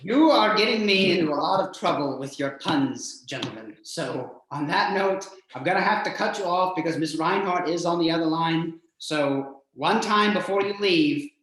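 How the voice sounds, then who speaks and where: A man hosting a horror radio-play stream in a jokey, theatrical, somewhat halting manner, with very echoey sound. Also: animated, fairly bright tone. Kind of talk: jokey, hammy live show hosting. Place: indoors, remote video call stream. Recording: very echoey, boomy room sound.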